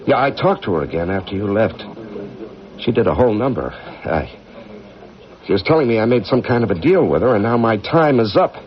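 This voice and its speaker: An actor playing a weary, haunted veteran astronaut commander with an American accent in a 1980s radio drama. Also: male voice, fairly low pitch, animated, brisk, pauses often, close to an everyday voice. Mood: troubled, confiding. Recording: muffled old radio broadcast, music behind.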